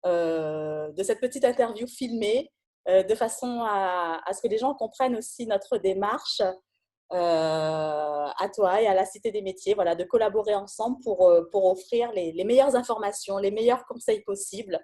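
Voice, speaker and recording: A woman's voice: medium pitch, lively intonation, moderate pace, warm tone. A woman interviewing for a careers centre, speaking casually with many hesitations.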